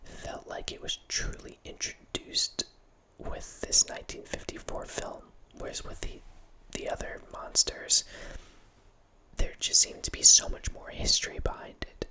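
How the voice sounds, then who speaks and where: A man's voice: a little slow, low-quality recording. Whispering man rambling close to the mic. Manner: rambling, self-correcting, casual. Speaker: host. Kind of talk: whispered ASMR horror film ramble. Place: small home room with bookshelf. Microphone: studio mic close up, headphones.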